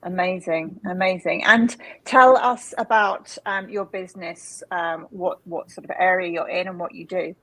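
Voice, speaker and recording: Chatty woman host with a low, dry voice. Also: fairly even intonation, normal speed, few pauses, dry recording, poor audio. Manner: chatty, many fillers and restarts. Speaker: podcast host. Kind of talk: casual interview.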